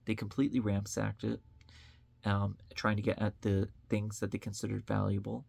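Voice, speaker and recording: A man's voice: lowish voice, monotone, normal speed, vocal fry, darkish tone, slightly rough, no echo. An American man from upstate New York giving an informal opinion monologue as an online commentator, speaking in an earnest, conversational way. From upstate New York, United States.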